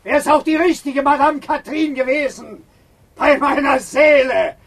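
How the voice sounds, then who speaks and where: An actor playing a raging, power-hungry marshal in a German radio play, shouting and cursing bombastically. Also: male voice, extremely high pitch, unhurried, recorded from a distance.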